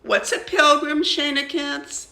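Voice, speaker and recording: A woman voicing a warm, plain-spoken immigrant mother in a storybook, with questioning, Yiddish-flavored phrasing. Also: high-pitched, little pitch movement, slow, creaky, roomy sound, pitched above the performer's own voice, heavily acted. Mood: pleased.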